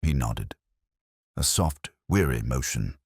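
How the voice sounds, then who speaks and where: A man narrating a scripted first-person detective story as a weary, introspective investigator, in measured, brooding, literary short phrases. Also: very deep voice, expressive, darkish tone, husky, dry recording, clean studio sound.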